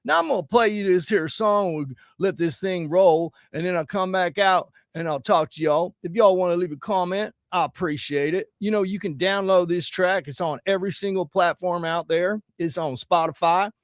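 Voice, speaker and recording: A man's voice: high-pitched, normal speed, hardly any pauses, dry recording, studio quality. Foul-mouthed ranting man. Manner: loud, crude, preachy, swearing constantly. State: slightly scratchy voice from singing. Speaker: musician promoting his song. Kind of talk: profane political rant to camera.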